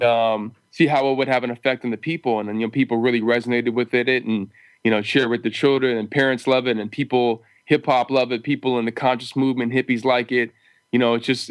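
A man, a musician guest in an interview, talking in an easygoing, reflective way with many "you know"s. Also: mid-range voice. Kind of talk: casual interview chat.

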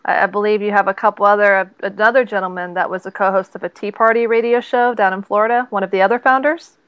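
Smooth-voiced woman hosting an interview. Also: mid-range voice, fairly even intonation, fast, no echo, dull audio. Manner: chatty, warm restarts. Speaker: host.

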